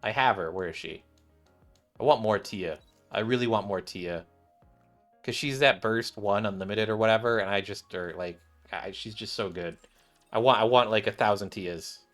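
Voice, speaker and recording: A man's voice: on the low side, normal speed, fairly bright tone, soft background music. A man giving casual, off-the-cuff commentary on a game, rambling and thinking aloud as he plays. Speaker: gaming video creator.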